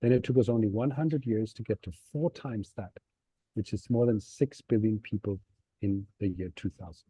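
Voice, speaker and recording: A middle-aged man from Denmark giving a relaxed, explanatory webinar presentation over a video call. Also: on the low side, normal speed, slightly rough, dry recording, poor audio. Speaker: nonprofit executive director giving a talk. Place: seated on stairs of a train station.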